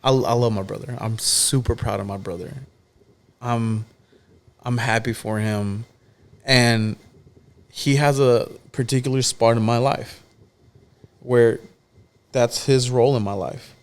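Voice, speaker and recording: A man in his early thirties with a voice of middle pitch, speaking plainly and with conviction as a podcast guest. He comes back to key phrases for emphasis and keeps his tone direct. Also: fairly even intonation, a little slow, pauses often. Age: 30s. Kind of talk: casual heartfelt chat.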